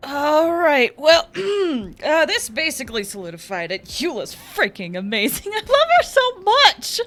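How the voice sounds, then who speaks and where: Excitable young woman game streamer. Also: high voice, unhurried, soft background music. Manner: excitable, gushing. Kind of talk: casual gameplay commentary.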